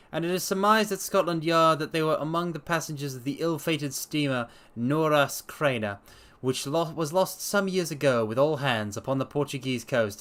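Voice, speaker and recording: A man's voice: mid-range voice, a bit bright. A man giving a dramatic story reading as a streamer, with a theatrical, grandiose way of talking to listeners. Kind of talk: dramatic scripted narration with theatrical asides.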